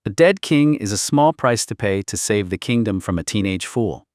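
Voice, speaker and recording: A man's voice: on the low side, expressive, normal speed, darkish tone, no echo, clean studio sound, lightly acted. A man voicing a bitter, contemptuous young nobleman in an audiobook, scornful and intense. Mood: burning contempt.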